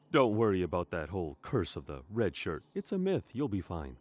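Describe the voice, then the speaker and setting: A man's voice: lowish voice, fairly bright tone, full character voice. A man playing a brash, self-important spaceship captain in a comedic sci-fi play, theatrical, commanding and easily exasperated. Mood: brushing off.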